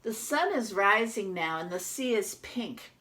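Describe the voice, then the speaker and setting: Storybook toy duck, small, timid and lonely, read by a woman. Bright voice, unhurried, expressive delivery. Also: medium pitch. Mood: wistful storytelling. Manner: expressive, dramatic storybook narration. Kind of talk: children's picture book read-aloud.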